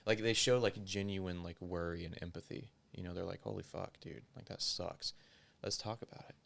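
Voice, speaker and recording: A man's voice: deep voice, fairly even intonation, moderate pace, gravelly, a bit bright. A young man chatting casually as a podcast guest, rambling and profane, with lots of "like" fillers.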